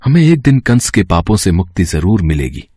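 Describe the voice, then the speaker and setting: A noble, devout captive father from a mythological tale, acted by a man, with a low, husky voice. He speaks with earnest, anguished drama in a dry recording. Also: very animated, normal speed, darkish tone, no echo, heavily acted. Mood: tender. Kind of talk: Hindi mythological audio story acting.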